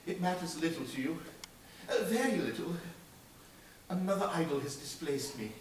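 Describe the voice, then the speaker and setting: A man voicing a sorrowful young woman who speaks softly and with restraint. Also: a little high, expressive, normal speed, very echoey, far from the mic, slight background noise, natural voice. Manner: soft, hushed, restrained. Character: poor young woman, sorrowful and quietly reproachful.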